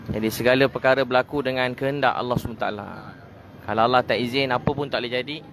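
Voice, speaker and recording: A Malaysian man preaching informally at a quick clip, with a mid-range voice. His delivery is conversational, circling back on phrases and checking in with short tag questions. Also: mid-range voice, fairly even intonation, fairly bright tone, a little hiss or hum. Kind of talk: informal religious talk.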